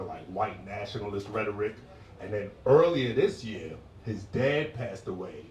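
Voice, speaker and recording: A man's voice: on the low side, a little hiss or hum. Man in his forties, stand-up comic, laid-back storytelling, roomy club sound from a distance. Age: 40s. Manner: laid-back storytelling, bursts into loud shouted impressions. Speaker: stand-up comedian. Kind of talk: stand-up set. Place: comedy club stage.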